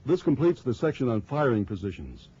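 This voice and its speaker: Mellow male instructional narrator, dull old soundtrack. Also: medium pitch, big pitch swings, breathy edge, soft background music. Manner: measured, formal, explanatory. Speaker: training film narrator. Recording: dull lo-fi early 1990s film soundtrack.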